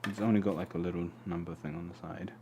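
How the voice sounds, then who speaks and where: A man's voice: sits low, fairly even intonation, fast talker. A man from Poland hosting a casual live stream, chatting in a rambling way and humming to himself as he works. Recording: loud background noise.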